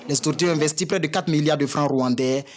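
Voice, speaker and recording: A man reporting as a news correspondent, reading a scripted piece in a brisk, formal way. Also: fairly high pitch, a little hiss or hum.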